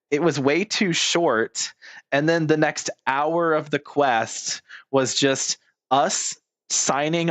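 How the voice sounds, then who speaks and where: A man's voice: mid-range voice, fairly bright tone, dry recording. A male game streamer with a mid-range voice, talking casually and at length in a blunt, informal way. He swears freely and is recorded dry and close to the mic. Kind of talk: casual rambling game commentary.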